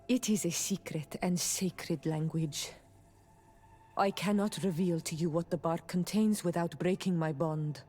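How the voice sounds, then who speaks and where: A woman's voice: lowish voice, a little slow, dark tone, husky, soft background music. A woman playing a reserved native islander loyal to tradition in a fantasy video game, speaking earnestly and formally. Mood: grave, reserved. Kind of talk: video game dialogue acting.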